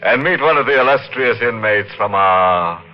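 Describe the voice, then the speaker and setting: A man playing a ghoulish radio show host who delivers macabre puns slowly and gloatingly, in a 1950s radio drama. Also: lowish voice, animated, slight background noise, natural voice. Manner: slow, gloating, punning delivery. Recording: dull old 1950s radio broadcast.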